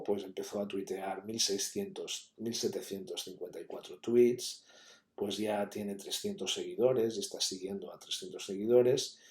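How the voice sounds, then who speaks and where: Low, mellow man lecturing. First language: Catalan. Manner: explanatory, with frequent fillers and tag questions. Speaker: university lecturer. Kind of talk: academic conference talk. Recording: echoey room.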